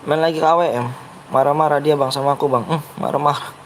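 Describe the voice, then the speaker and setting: A young male game streamer with a Betawi accent and a somewhat raised pitch. He chats with viewers in a brash, blunt way that he says comes across as rough, and keeps pushing them to like and subscribe. A faint hum of noise runs under his voice. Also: fairly even intonation, fast. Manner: blunt, brash delivery he himself calls harsh-sounding. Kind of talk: live gaming stream chat.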